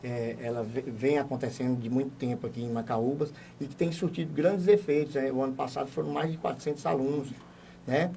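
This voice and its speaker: A man's voice: mid-range voice, fairly even intonation, a little fast, vocal fry, dark tone, far from the mic, a little hiss or hum. A man, a municipal education secretary, giving a formal, explanatory radio interview and building emphasis as he goes. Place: radio studio.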